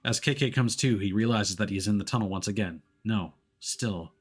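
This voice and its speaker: A man's voice: lowish voice, fairly quick, breathy edge, faint music behind. A man streaming a video game, moving between casual commentary and reading story narration aloud.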